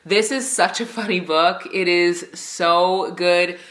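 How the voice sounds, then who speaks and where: Rambling, chatty woman with a low voice, bright and congested, home vlog recording with an echo. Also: fairly even intonation, takes it slowly. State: congested, stuffy nose. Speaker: book vlogger. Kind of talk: casual book review chat. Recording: roomy echo.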